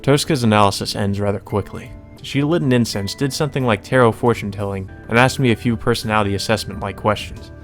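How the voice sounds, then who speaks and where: Male let's player, low voice, narrates a visual novel with off-the-cuff asides over clearly audible music. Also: fast talker, hardly any pauses. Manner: slips into character dialogue, ad-libbed asides. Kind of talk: reading visual novel aloud with casual commentary. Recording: music behind the voice. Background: game music.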